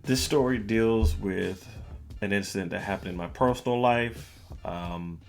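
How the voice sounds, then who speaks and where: Low-voiced man hosting a podcast over music. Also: unhurried, vocal fry. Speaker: podcast host. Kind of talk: casual storytelling podcast monologue. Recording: music bed under the voice. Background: background music.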